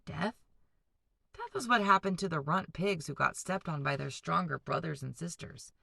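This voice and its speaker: A woman teacher reading a novel aloud to students, pausing to explain and ask them to picture things. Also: low voice, lively intonation, creaky, bright, forceful tone, husky, no echo, clean studio sound. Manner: patient, explanatory, addresses students directly. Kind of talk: classroom read-aloud with discussion breaks.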